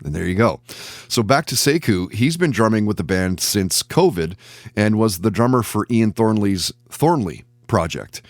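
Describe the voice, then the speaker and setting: A man's voice: fairly low pitch, expressive, normal speed, no echo. A man hosting a podcast, reading sponsor spots and introducing guests. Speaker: podcast host.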